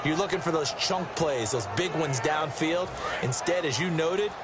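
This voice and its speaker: A man's voice: fairly high pitch, normal speed, heavy background noise, natural voice. A man playing a folksy, enthusiastic football color analyst in a video game, explaining in a conversational, emphatic way. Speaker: color commentator. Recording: loud crowd and music behind. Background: crowd and music.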